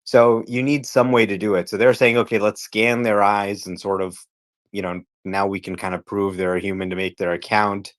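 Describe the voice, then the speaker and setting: A man with a fairly low voice talks as a guest on a panel. His style is casual and explanatory, laying ideas out in plain terms and posing rhetorical questions. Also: little pitch movement, normal speed.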